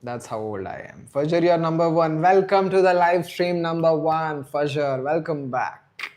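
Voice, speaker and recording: A man's voice: fairly high pitch, unhurried, a little mellow. Rambling man streaming live. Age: 30s. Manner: rambling, reads out and answers viewer chat. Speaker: livestream host. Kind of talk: casual live stream chat.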